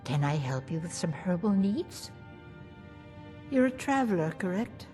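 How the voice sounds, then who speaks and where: A woman's voice: fairly low pitch, very animated, unhurried, faint music behind. A woman playing a courteous, worried motherly herb shop keeper in a fantasy game. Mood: polite welcome. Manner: courteous, gentle, hopeful.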